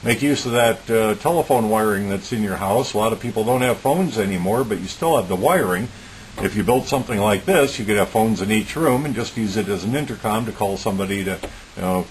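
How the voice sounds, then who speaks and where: A man giving an informal, methodical technical demonstration as a hobbyist, in a plain-spoken, matter-of-fact way. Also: on the low side. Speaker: electronics hobbyist presenting a homemade project. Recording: clearly noisy recording with hiss.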